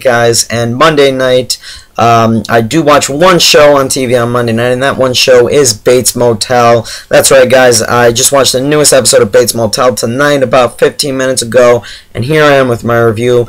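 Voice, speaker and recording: A man with a medium-pitched voice that sounds hoarse and worn out talks casually to his viewers. He reviews television in a chatty, halting way, like someone exhausted after straining his voice. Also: steady tone, rough recording. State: hoarse from shouting, very tired. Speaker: YouTube TV episode reviewer. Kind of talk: casual spoken review to camera.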